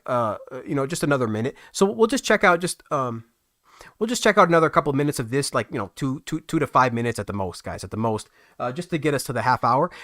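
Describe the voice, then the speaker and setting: A man's voice: medium pitch, fairly bright tone, no echo, clean studio sound. A man hosting a casual music-app livestream, chatting to his viewers in a rambling way with many restarts. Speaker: livestream host.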